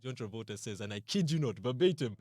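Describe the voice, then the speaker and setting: A man with a Ugandan accent telling an anecdote casually as a podcast guest, acting out what people said in an animated way. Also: mid-range voice, fast talker, no room sound, clean studio sound, soft background music.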